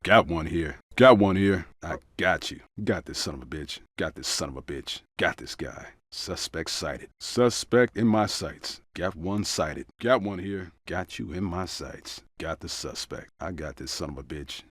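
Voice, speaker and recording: An actor playing a tough, urgent American police officer in a combat video game, speaking in clipped, forceful barks. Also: male voice, sits low, animated, measured pace, hardly any pauses, dry recording. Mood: low, menacing. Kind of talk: short shouted combat barks.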